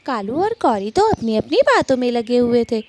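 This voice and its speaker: A woman narrates a children's story in a fairly high voice. Her delivery swings widely in pitch, with faint noise behind it. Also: very animated, moderate pace.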